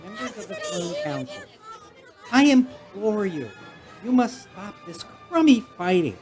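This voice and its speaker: An actor playing an earnest, wholesome young space hero in a corny, declamatory retro radio serial style. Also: male voice, high-pitched, very animated, measured pace, a little mellow, faint music behind, some chatter behind. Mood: earnest pleading. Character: earnest, wholesome boyish space hero, gee-whiz good manners.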